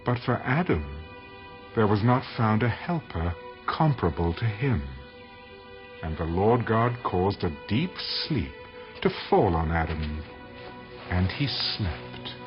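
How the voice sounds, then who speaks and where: A man narrating dramatized scripture in a solemn, measured manner. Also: lowish voice, big pitch swings. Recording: dull audio under loud music.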